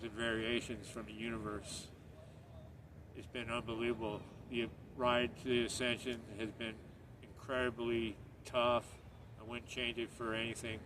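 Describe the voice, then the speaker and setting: Earnest middle-aged man, outdoors by traffic. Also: medium pitch. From Northern Ireland. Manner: earnest, rambling, repeats himself. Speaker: channel host. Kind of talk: casual monologue to camera. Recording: distant mic, street noise.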